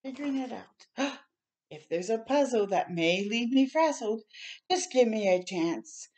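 A woman's voice: a little high, expressive, unhurried, a little mellow. An older woman doing a children's storytime, reading a picture book aloud and adding encouraging, chatty asides. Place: small room at home, indoors.

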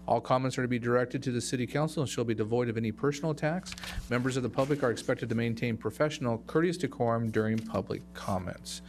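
Brisk middle-aged American man, noisy hum. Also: mid-range voice. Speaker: mayor chairing a council meeting. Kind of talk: formal meeting procedure, partly read aloud. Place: council chamber. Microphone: dais microphone. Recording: loud hum and noise.

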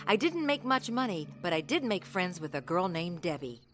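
A woman's voice: lowish voice, big pitch swings, moderate pace, fairly bright tone, faint music behind. An actress playing a young woman carnival worker in a radio drama, telling her hard life in an earnest, dramatic way. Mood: reflective, earnest. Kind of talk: radio drama narration and dialogue.

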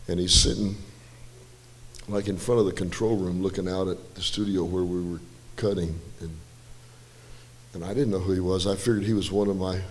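Hoarse older man telling stories in church. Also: low-pitched, moderate pace. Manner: relaxed, rambling storyteller with self-deprecating asides. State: slightly hoarse. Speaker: guest musician and speaker. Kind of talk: church storytelling testimony. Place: church stage, large indoor room. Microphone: handheld mic. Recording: reverberant church hall with faint music.

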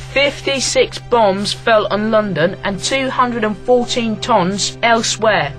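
A man's voice: sits high, fairly bright tone, poor audio. Young man reading a school project. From Latvia. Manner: careful, slightly halting reading. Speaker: student presenter. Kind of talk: read-aloud school project narration.

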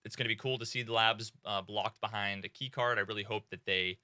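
A young man in casual gaming commentary from a small home room, excitable and swearing freely, with many fillers. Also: lowish voice, brisk, no echo, clean studio sound. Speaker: gaming streamer.

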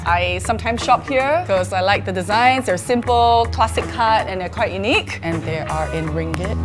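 A young woman hosting a casual food and travel vlog in an informal, expressive way. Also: mid-range voice. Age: young adult. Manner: informal, expressive, mildly sweary. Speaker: web show host.

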